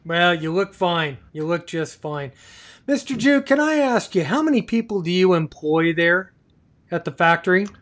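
A middle-aged man hosting a live video chat, courteous and halting as he talks with his guests. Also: high-pitched, expressive, a bit bright. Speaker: live show host. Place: small home office, indoors.

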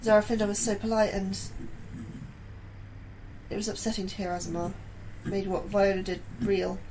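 A woman playing an anxious, earnest astrobiologist, halting and tense, in a sci-fi horror audio drama. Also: medium pitch, flat delivery, darkish tone, slight background noise, lightly acted, rough recording. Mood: troubled.

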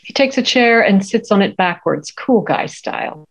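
A woman's voice: medium pitch, animated, normal speed, rough recording. A middle-aged woman reading stage directions at a film script table read. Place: indoor room at home on a video call.

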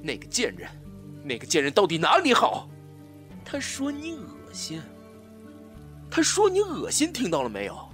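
Man acting an obsessive jilted lover, wildly swinging pitch. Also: sing-song, moderate pace, pauses often, soft background music. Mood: bitter, hysterical. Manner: theatrical, swinging from soft coaxing to taunting. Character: obsessive, scheming jilted male lover, pleading then menacing. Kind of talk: Chinese audio drama acting.

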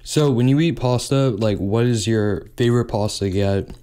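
A young man hosting a mukbang, chatting casually between bites at a table and speaking into a close mic. He talks in a relaxed way and says "like" often. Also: medium pitch, moderate pace, clean tone. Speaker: mukbang host. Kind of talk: casual eating chat, mukbang ASMR.

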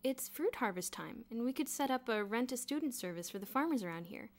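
A woman's voice: fairly high pitch, animated, brisk, voice pushed up, close to an everyday voice. A woman voicing a brash, scheming schoolboy in an audiobook story, eager, emphatic and insistent. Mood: earnest, pleading.

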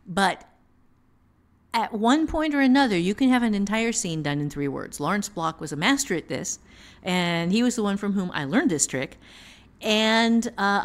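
A woman author and teacher explaining things step by step with examples in casual podcast talk. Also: medium pitch.

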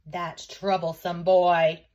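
A woman's voice: on the low side, level tone, slow pace, darkish tone, muffled recording, pitched below the performer's own voice. A stern mother of young boys, played by a woman storyteller. She scolds in formal, emphatic phrases, exasperated and commanding, as in a children's storybook.

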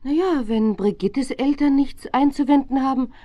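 An actress playing an indulgent, gently teasing middle-aged mother in a children's radio play. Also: high-pitched, normal speed, dry recording, dull audio, close to an everyday voice.

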